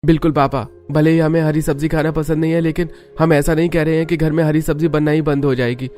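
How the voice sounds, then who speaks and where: A man's voice: fairly high pitch, level tone, fast talker, dark tone, faint music behind, natural voice. An actor playing a defiant young adult son who is tired of his family's rules, in a cartoon family drama. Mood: insistent. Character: grown son, fed up and defiant. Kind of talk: cartoon voice acting.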